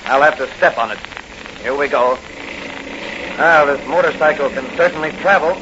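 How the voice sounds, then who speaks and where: An actor playing a bold, commanding masked crime fighter in a declamatory 1940s radio style. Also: male voice, a little high, normal speed, close to an everyday voice. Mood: determined. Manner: declamatory, thinking aloud. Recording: muffled, noisy old radio recording.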